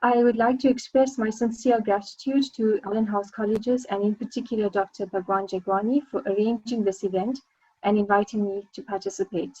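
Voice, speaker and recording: A woman's voice: mid-range voice, steady tone, a little fast, mellow, slightly rough, muffled recording. A South African woman, a senior lecturer, giving an academic presentation on a video call with frequent ums and uhs. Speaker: senior lecturer, webinar panelist.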